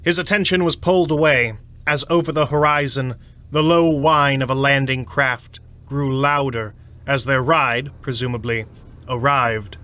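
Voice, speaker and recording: A man narrating a scripted sci-fi audio drama in a measured, suspenseful, slightly wry storytelling manner. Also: mid-range voice, fairly even intonation, sharp tone, no room sound.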